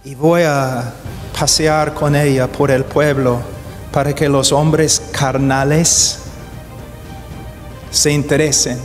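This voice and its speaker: Slow, halting man preaching in a hall. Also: a little high, flat delivery. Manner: deliberate, halting, searches for words; grows urgent and emphatic. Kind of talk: sermon. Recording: long hall reverb, faint music.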